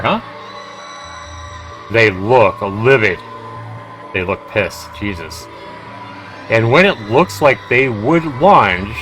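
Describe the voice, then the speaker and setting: A man's voice: lowish voice, a bit bright. A man livestreaming a game, commenting conversationally and emphatically and reading text aloud. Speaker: game streamer.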